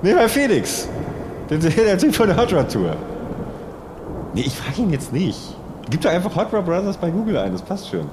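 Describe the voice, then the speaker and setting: A man's voice: sits high, wildly swooping pitch, moderate pace, background noise, pitched above the performer's own voice. A man playing a self-important God in an improvised comedy sketch, moving between solemn pronouncements and casual chattiness. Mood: casual, chatty. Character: grand, self-important God who chats like a laid-back fan.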